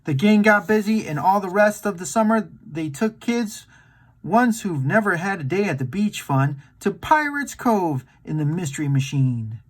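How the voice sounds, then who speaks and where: A man reading a children's storybook aloud in an animated storytime style. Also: high voice, moderate pace.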